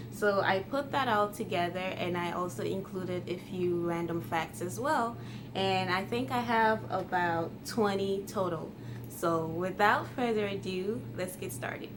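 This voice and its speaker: A woman's voice: lowish voice, noisy background. A woman in her twenties from Ghana, whose first language is Twi, chatting to her viewers in a friendly, casual way. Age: 20s. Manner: friendly, chatty, light laughs. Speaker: YouTuber. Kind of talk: casual talk to camera.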